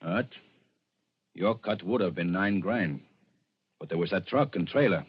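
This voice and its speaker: An actor playing a firm, businesslike American gang boss in 1940s radio style, blunt and authoritative. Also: male voice, deep voice, unhurried, breathy edge. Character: firm, businesslike male gang boss, stern but placating. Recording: dull, noisy old radio recording.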